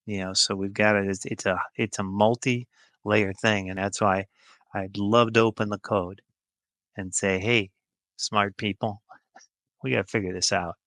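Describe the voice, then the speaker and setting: American guy podcast guest, kinda low but forceful voice, really dry close sound, folksy plain talk. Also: steady tone, unhurried, no echo. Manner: informal, plain-spoken, emphatic. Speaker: podcast guest, healthcare executive. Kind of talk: conversational interview answers.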